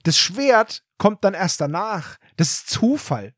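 A man's voice: high voice, big pitch swings, takes it slowly, fairly bright tone, dry recording, clean studio sound. A man co-hosting a podcast, casually discussing an audio drama in an emphatic, opinionated, colloquial way. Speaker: podcast co-host.

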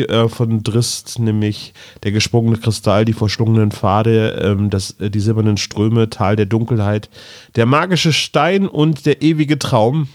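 A German man with a fairly low voice chats casually as a podcast co-host. He talks haltingly, searching for his words, in a very dry recording. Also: no echo. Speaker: podcast co-host reviewing audio dramas. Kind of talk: casual fan chat.

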